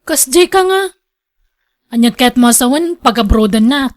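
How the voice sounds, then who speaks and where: A woman's voice: a little high, lively intonation, measured pace. An actress with an Ilocano accent playing a scolding, thrifty wife and mother in a radio drama. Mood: reproachful. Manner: scolding, reproachful. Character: nagging, thrifty wife and mother, exasperated with vain husband.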